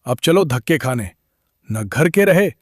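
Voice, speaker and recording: A man voicing a sour, blaming village man in a cartoon story, sarcastic and nagging in a husky voice. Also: fairly high pitch, expressive, unhurried, a little hiss or hum. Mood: bitter, sarcastic. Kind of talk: animated moral story dialogue.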